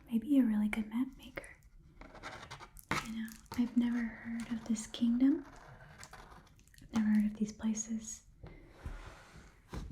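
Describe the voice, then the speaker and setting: A woman voicing a shrewd, chatty goblin shopkeeper in a breathy whisper, rambling and muttering to herself as she haggles in an ASMR roleplay. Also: fairly high pitch, dark tone, slight background noise. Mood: appraising, skeptical. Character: shrewd, chatty goblin pawn shop keeper.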